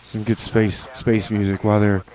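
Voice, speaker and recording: A man's voice: lowish voice, little pitch movement, moderate pace, a little mellow, rough recording. A man hosting a community radio show, chatting in a rambling, easygoing way with lots of fillers between songs. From New Mexico, USA.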